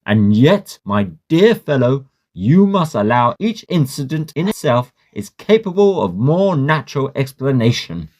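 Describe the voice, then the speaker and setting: A man playing a sceptical, concerned learned gentleman in a Victorian horror drama, with a measured, reasoning, formal manner. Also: mid-range voice, big pitch swings.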